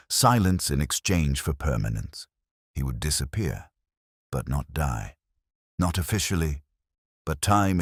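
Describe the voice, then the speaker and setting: Guy doing a gloomy world-weary detective narrator, super deep husky voice, solemn and reflective, really dry close sound. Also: dry recording, full character voice. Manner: measured, solemn, reflective delivery. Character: brooding, world-weary male police detective recalling a case. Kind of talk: literary first-person scripted narration.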